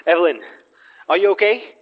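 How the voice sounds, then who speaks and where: A man playing a wry, protective boss of paranormal investigators in a horror audio drama, with a dry, measured delivery. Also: high voice, animated, highs cut off. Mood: alarmed.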